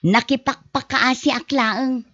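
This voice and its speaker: Woman acting worried high-voiced mother, dry muffled sound. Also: unhurried, a bit bright, dry recording. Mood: scolding, worried. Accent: Filipino. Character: worried, scolding Filipino woman in a family drama. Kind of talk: amateur Ilocano radio-style drama acting. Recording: dull, roomless lo-fi audio.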